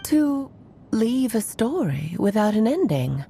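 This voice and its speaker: An actress voicing Alice, a caring, playful fairy-tale mage in a game cutscene, with a gentle, concerned manner. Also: female voice, a little high, big pitch swings, slow, vocal fry, a little hiss or hum, full character voice. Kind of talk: story cutscene voice acting. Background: soft music.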